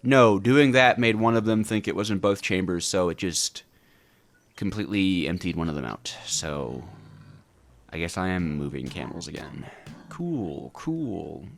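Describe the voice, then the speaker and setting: A man's voice: sits low, expressive, unhurried, gravelly. A man delivering scripted video game narration in a theatrical, wryly exasperated way. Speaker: video game player narrating his own playthrough. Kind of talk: scripted gameplay narration with dramatized reactions. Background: background music.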